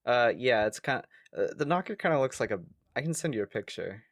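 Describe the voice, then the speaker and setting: A man's voice: medium pitch, lively intonation, fast talker, no echo, studio quality. A man co-hosting a podcast in an informal, playful chat, prompting with questions. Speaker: podcast co-host.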